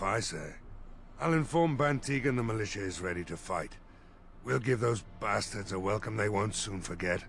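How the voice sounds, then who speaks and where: A man voicing a gruff middle-aged village mayor readying his militia for a fight. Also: fairly low pitch, slight background noise. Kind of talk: video game voice acting.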